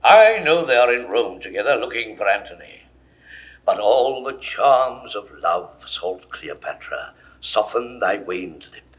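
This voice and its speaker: An actor playing a proud, confident, scornful Roman rebel leader in a 1960s Shakespeare audio drama, with emphatic oratorical delivery. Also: male voice, lowish voice, very animated, unhurried, low-quality recording. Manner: oratorical, emphatic declaimed verse.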